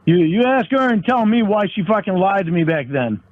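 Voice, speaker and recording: A man's voice: high-pitched, animated, a little fast. Defensive man on a tinny phone line. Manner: defensive, profane, nonstandard grammar. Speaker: caller in a confrontation. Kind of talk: heated phone argument.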